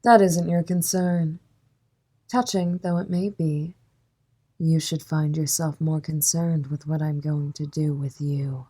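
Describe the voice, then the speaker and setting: Audio drama villainess, female actor: composed crime boss, lowish voice, courteous, playful menace. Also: fairly even intonation, mellow. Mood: coolly menacing. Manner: polite, measured, coolly amused formal speech.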